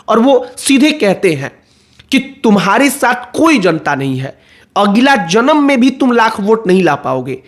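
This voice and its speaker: A man giving explanatory political commentary, going point by point and repeating phrases for emphasis. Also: sits high.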